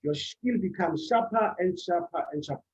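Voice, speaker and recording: A man's voice: sits high, moderate pace. A man giving a motivational speech at a public speaking club, delivering short emphatic phrases and repeating his key points. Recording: echoey room, dull sound.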